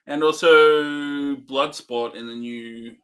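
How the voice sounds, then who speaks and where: A man's voice: fairly high pitch, fairly even intonation, slow, a bit bright. A man co-hosting a casual movie review, giving loose, hesitant opinions with frequent ums and restarts. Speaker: co-host.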